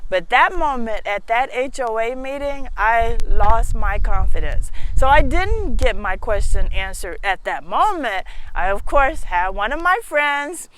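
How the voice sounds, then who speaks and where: Female host, American accent, slightly high voice. Opinionated, expressive storyteller who talks straight to viewers and leans on key phrases. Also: a little slow, few pauses, a little hiss or hum, poor audio. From Houston, Texas, United States. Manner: emphatic, expressive, repeats phrases for effect. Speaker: talk show host.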